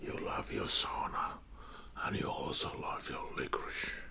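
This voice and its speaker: A man from Finland with a Finnish accent whispering a casual personal story in ASMR style, in a halting, hushed manner. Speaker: ASMR video creator and support worker.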